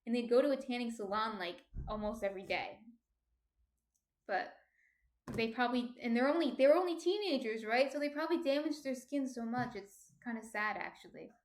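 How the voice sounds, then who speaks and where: A soft-spoken woman in her thirties with a New Jersey accent, casually chatting and answering viewer comments on her stream. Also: on the high side, animated, normal speed. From New Jersey, USA.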